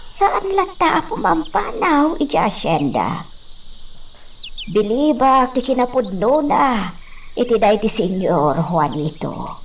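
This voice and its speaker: An actress as an elderly village woman in an Ilocano radio drama, speaking slowly in a fairly high voice. She is kindly and plain-spoken, heard a little away from the mic with crickets chirping behind. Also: big pitch swings, vocal fry, far from the mic, a little hiss or hum, exaggerated voice. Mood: solemn, consoling.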